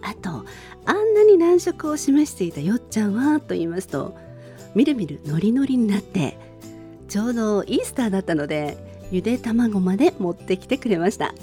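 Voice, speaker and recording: A middle-aged woman hosts a radio program in a soft, mellow voice. She talks alone in polite, gentle phrasing, sharing small stories. Faint music plays behind her. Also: medium pitch, big pitch swings, normal speed. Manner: polite, formal phrasing with personal anecdotes. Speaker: radio show host. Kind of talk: gentle monologue radio talk.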